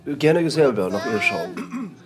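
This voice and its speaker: Man playing a dutiful butler with swooping pitch. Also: huge pitch swings, measured pace. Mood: deferential. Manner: formal, deferential, hesitant. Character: dutiful, obsequious male butler of an English manor.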